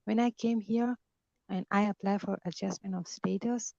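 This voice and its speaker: A young woman from Afghanistan speaks as a caller in halting, English. Her voice sits at a middle pitch, and the line sounds dull and dry. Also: fairly even intonation, creaky, darkish tone, dry recording. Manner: non-native English with halting phrasing. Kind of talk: hesitant question over a call. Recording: dull lo-fi call audio, dry.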